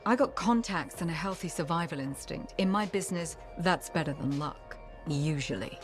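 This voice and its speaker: A woman's voice: lowish voice, lively intonation, moderate pace, close to an everyday voice. A woman as a shrewd, streetwise bar owner. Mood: cocky, wry. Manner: casual, worldly, clipped phrasing. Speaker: video game character actor. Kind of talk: video game dialogue acting. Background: music.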